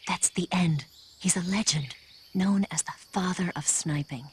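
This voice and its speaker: A woman acts out a composed female spy from a video game. Her voice is low, and she speaks in a measured, reassuring way. A faint bed of insect noise runs behind her. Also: close to an everyday voice. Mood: calm, cautionary. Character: composed, encouraging female spy briefing an ally. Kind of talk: dramatic video game voice acting.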